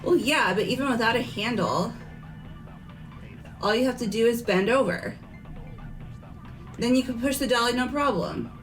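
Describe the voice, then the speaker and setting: A woman's voice: on the high side, normal speed, long pauses, soft background music, close to an everyday voice. A woman voicing a brash, stubborn young student with a blunt, casual way of talking in a fan-dubbed game. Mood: argumentative. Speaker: amateur voice actor in a group playthrough. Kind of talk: fan dub of a visual novel game.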